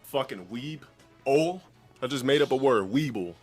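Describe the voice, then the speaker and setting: A man with a deep voice ranting insults at a critic in a played-back clip. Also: expressive, normal speed, darkish tone. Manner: insulting, boastful rant. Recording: played clip with faint music.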